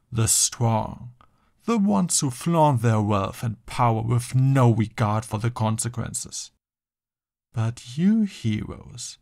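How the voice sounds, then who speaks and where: A grandiose male supervillain, acted out by a man in an audio roleplay. He declaims slowly and deliberately, full of menace and contempt, at a medium pitch. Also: creaky, darkish tone, heavily acted. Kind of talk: theatrical villain monologue in an audio roleplay.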